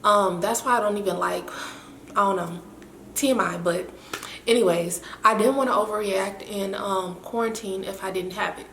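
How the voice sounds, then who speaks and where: A young woman with a raspy, winded voice, chatting in a rambling, self-mocking way to her camera. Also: medium pitch, steady tone, moderate pace, fairly bright tone. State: winded and hoarse after illness. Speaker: vlogger. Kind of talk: casual chat to camera. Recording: echoey room with faint hiss.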